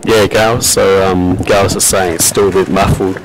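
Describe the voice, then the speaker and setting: A man with an Australian accent and a robust, loud voice, giving relaxed, chatty live commentary on a pool match with frequent fillers. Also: low-pitched, moderate pace, background noise. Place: venue at a pool championship.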